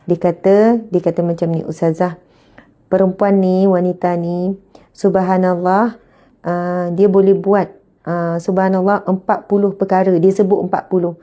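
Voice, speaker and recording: Female religious teacher, late 30s, Malaysian Malay accent, mellow voice, chatty lecture delivery. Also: fairly low pitch, flat delivery, normal speed, muffled recording. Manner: chatty, with frequent religious exclamations. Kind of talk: religious talk.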